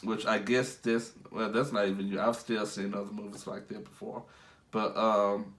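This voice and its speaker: A man's voice: on the low side, little pitch movement, normal speed, a bit bright. A congested young man who is under the weather, rambling casually through a movie review with many fillers and restarts.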